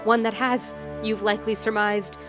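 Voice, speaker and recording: A woman's voice: mid-range voice, little pitch movement, over music, natural voice. A woman acting a game master who narrates theatrically and voices a smug, menacing villain in an audio drama. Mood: storytelling. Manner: theatrical, measured storytelling.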